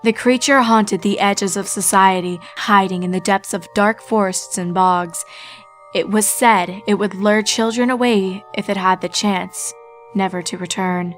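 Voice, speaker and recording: A woman narrating a dark fanfiction story in steady, solemn, scripted storytelling. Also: medium pitch, a little slow, soft background music.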